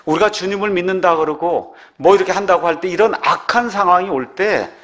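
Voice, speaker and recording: Higher-voiced male preacher teaching scripture. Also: a little fast, a bit bright. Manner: didactic, asks rhetorical questions and checks with listeners. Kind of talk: expository sermon, retelling scripture verse by verse.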